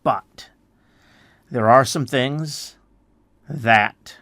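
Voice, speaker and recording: A man from the United States hosting an educational podcast, explaining things in a relaxed way with many "I mean"s and restarts. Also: medium pitch, very slow, dry recording. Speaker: podcast host.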